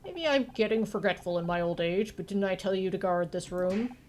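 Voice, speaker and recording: A woman voicing a gruff, short-tempered old police detective with a curt, sardonic manner in a video game. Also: medium pitch, fairly quick, darkish tone, a little hiss or hum, natural voice. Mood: angry, sarcastic.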